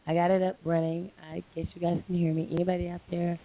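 A hoarse woman hosting a live call-in internet radio show, chatting in a rambling way with many fillers and restarts. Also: fairly low pitch, level tone, fast. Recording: lo-fi phone line with faint hiss.

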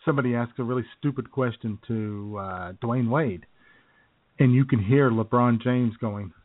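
A man co-hosting a comedy podcast, rambling and joking through his stories. His voice sits at an ordinary middle pitch. The sound is thin and tinny, like a phone line. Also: normal speed. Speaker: podcast co-host. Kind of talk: casual comedic chat.

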